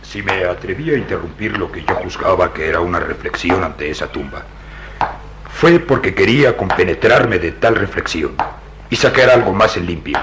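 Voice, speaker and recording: An actor playing a stout, baffled and excitable police inspector in a radio drama, theatrical, incredulous and emphatic. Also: male voice, deep voice, moderate pace, noisy background. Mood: doubtful. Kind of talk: old radio drama acting.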